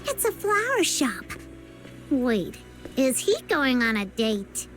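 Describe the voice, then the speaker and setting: A woman voicing a bubbly, scheming young girl sidekick in a video game, in an excitable, playful way. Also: sits high, slow pace, soft background music, heavily acted. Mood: flustered, playful. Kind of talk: animated video game voice acting.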